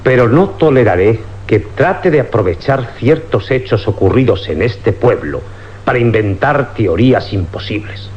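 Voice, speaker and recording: An actor playing a stern, skeptical police inspector in a formal, theatrical radio drama style. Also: male voice, medium pitch, expressive, unhurried, lightly acted. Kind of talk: old radio drama acting. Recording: dull radio recording under loud music.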